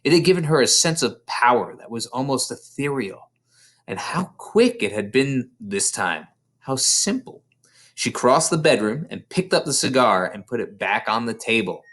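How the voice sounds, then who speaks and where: A man reads a children's story aloud as a storyteller. His pitch is very lively and moves widely, giving the narration an expressive, dramatic shape. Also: moderate pace. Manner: dramatic, animated storyteller delivery. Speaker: storybook reader.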